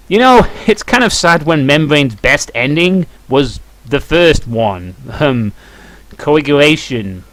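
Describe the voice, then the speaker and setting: A man's voice: medium pitch, a bit bright, rough recording. A man giving casual video game commentary, thinking aloud in a musing, dryly critical way. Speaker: let's play host. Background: music behind the voice.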